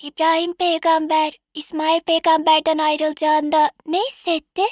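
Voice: high-pitched, flat delivery, moderate pace, dry recording, clean studio sound, lightly acted. An actor voicing a cute, curious, tender-hearted little sister in a children's cartoon dub. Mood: sad, tender.

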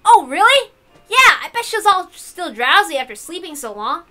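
A woman playing an excitable, confident young man in a visual novel, with an eager, informal, emphatic way of talking. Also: extremely high pitch, moderate pace, soft background music, pitched above the performer's own voice, full character voice. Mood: excited, earnest. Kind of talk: visual novel character reading.

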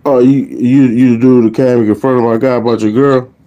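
A man's voice: mid-range voice, level tone, brisk, lo-fi sound. A middle-aged American man talking as a streetwise prank caller, confronting someone and repeating himself, recorded on a phone at arm's length. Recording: noisy phone-camera recording.

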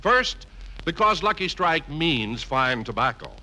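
Male American announcer, 1950s broadcast style, lively intonation, slightly high pitch. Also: measured pace, poor audio. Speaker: radio announcer. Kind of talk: 1950s scripted radio announcing.

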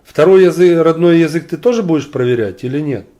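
A man's voice: a little high, expressive, fast talker, dark tone. A man from Ukraine arguing on a video chat stream, blunt and mocking with sarcastic asides. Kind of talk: argumentative online video chat.

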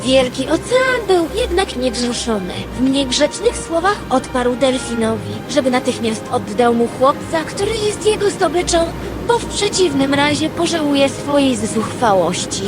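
A woman playing a wistful dreamer, narrating in an expressive literary storytelling manner for an audio drama. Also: sits high, lightly acted. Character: Lady Number Two, wistful female dreamer telling her dream.

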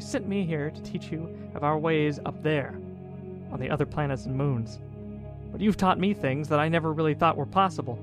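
A man's voice: on the high side, close to an everyday voice. An actor playing an earnest, idealistic man who preaches peace in an audio drama. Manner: earnest, pleading. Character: earnest, idealistic male pacifist preacher from space.

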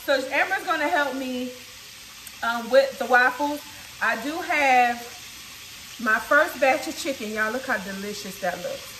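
A woman's voice: sits high, lively intonation, unhurried, pushed and bright, poor audio. A woman in her forties cooking at home for a casual vlog, chatting to viewers as y'all. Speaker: cooking vlogger, mother. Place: home kitchen, indoors. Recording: kitchen noise, frying sizzle. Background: frying and dish clatter.

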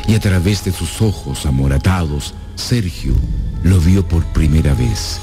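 A man narrating a radio drama, dramatic and scripted, with measured, suspenseful phrasing. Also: low-pitched, music in the background.

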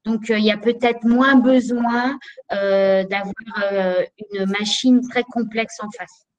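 A woman's voice: mid-range voice, fairly even intonation, slow, poor audio. Woman, hesitant, many fillers, webcam audio, office. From Canada. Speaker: supply chain manager. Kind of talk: video call panel discussion. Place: office room. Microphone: video call webcam.

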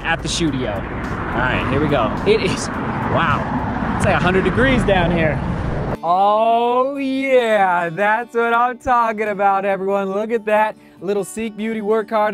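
Upbeat high-voiced man vlogging. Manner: upbeat, chatty, thinking aloud. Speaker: running vlogger. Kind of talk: casual vlog to camera. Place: mountain summit, car, shop, indoor room. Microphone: action camera at arm's length.

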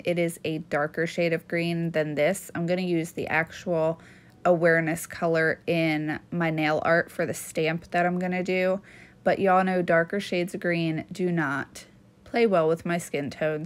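A young woman from Maryland chatting earnestly to camera as a nail channel host, a bit sniffly from allergies. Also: fairly low pitch. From Maryland, USA. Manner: chatty, earnest, with frequent fillers. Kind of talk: casual chat to camera.

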